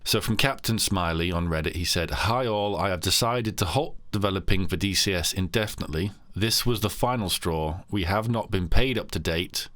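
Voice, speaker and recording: A man with a very deep voice hosts a casual podcast. He rambles, restarting sentences and filling gaps with ums. The sound is dry and close. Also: normal speed, hardly any pauses, fairly bright tone, no echo. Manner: rambling, many restarts and fillers. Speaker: podcast host.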